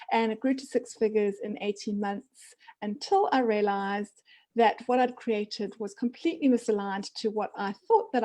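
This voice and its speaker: A woman in her forties from Zimbabwe, a business coach, talking as a guest on a video call from a home office. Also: medium pitch. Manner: animated, laughs often, gestures. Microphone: video call, headset wire.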